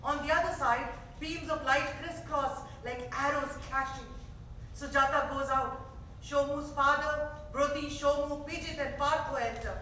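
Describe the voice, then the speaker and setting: A woman's voice: high voice, bright, forceful tone, far from the mic. A woman reading scripted stage directions as the narrator of a staged play. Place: theater stage. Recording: echoey theater recorded from afar, faint noise.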